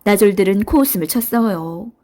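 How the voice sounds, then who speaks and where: Lady reading a kids history storybook, mellow voice, medium pitch. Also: a little fast. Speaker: storybook narrator. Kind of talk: children's storybook narration.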